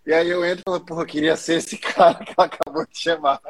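A man's voice: a little high, little pitch movement, fast, slightly rough. A man from Maceió with a Northeastern Brazilian accent, interviewing a guest in a casual, joking, self-deprecating way. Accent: Northeastern Brazilian (Alagoas). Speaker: interviewer and speaker. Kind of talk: casual conversation.

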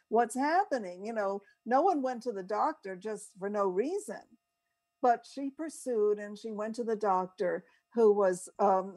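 Older American female, unhurried conversational storyteller, very slow delivery, mid-range voice. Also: mid-range voice, darkish tone. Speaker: co-host. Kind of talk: casual anecdotal storytelling.

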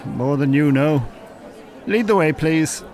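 An actor playing a smooth Irish con man posing as a stiff scientist, polite, formal and easily flustered, in radio drama. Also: a little high, slow pace, darkish tone, a faint crowd.

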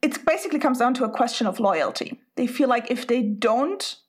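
Reflective woman host, higher voice. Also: expressive, fairly quick. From Spain. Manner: reflective, thinks aloud. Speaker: podcast host. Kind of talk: conversational podcast interview.

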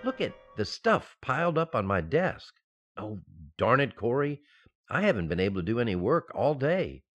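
An actor playing a flustered, easily shocked husband and father-to-be in a radio sitcom, excitable and dithering. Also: mid-range voice, normal speed, dull audio, faint music behind, natural voice. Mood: fretful. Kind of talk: old-time radio sitcom acting.

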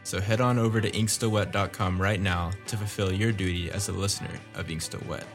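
A man's voice: sits low, steady tone, fairly quick. A man co-hosting a podcast, reading scripted announcements and credits with light jokes. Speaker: podcast co-host, writer and producer. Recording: music bed behind voice.

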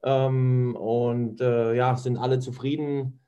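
A man's voice: mid-range voice, level tone, slow, warm tone, muffled recording. A man chatting as a guest, easygoing and hesitant with many fillers. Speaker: guest, ice hockey player.

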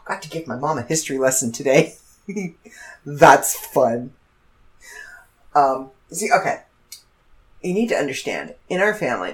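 A congested woman in her fifties, hosting a casual solo podcast and rambling through stories with self-corrections. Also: sits low. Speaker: podcast host.

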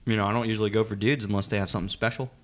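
A man's voice: fairly low pitch, fairly even intonation, very fast. A man hosting a podcast in casual, joking, profane comedic chat. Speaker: podcast host.

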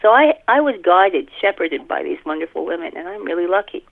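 A woman's voice: fairly low pitch, expressive, fairly quick, vocal fry. A middle-aged woman author giving a casual, anecdotal interview over the telephone, with a self-deprecating manner. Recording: tinny narrow phone line.

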